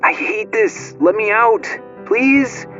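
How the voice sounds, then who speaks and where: A man's voice: sits high, slow pace, a bit bright, muffled recording, faint music behind, pitched above the performer's own voice. A man voicing a frightened, desperate young boy hero in an audiobook, pleading and exclaiming in panic. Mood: panicked, pleading.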